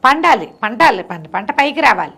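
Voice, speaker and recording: A woman's voice: on the high side, sing-song, a little fast, vocal fry, sharp tone, husky. A middle-aged woman tells a moral story conversationally, repeating phrases for emphasis and explaining the lesson simply.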